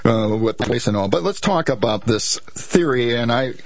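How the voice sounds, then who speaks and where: A man hosting a local morning radio show, friendly and halting with fillers. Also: medium pitch, expressive, dry recording. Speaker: radio host. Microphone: studio microphone.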